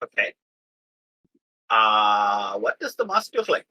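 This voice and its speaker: A man talking casually as a player in a tabletop game, in a thoughtful, deliberate manner. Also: lowish voice, big pitch swings, sharp tone, rough recording.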